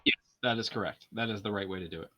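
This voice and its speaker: A man's voice: lowish voice, fast, bright, forceful tone, muffled recording. A man as a guest on a game stream, chatting in a laid-back, agreeable way. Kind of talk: casual chat while gaming.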